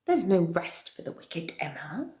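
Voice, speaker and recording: A woman playing a centuries-old villainous queen of darkness, chatting with viewers in a slow, theatrical, often whispered delivery. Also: lowish voice, very animated, a little mellow. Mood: sinister, theatrical. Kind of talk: in-character chat with viewers. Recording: roomy echo.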